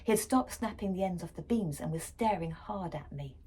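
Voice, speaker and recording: A woman narrates a children's story in a fairly low, breathy, husky voice. Her reading is dramatic and suspenseful, moving between hushed tones and firm emphasis. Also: animated, moderate pace. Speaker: story reader.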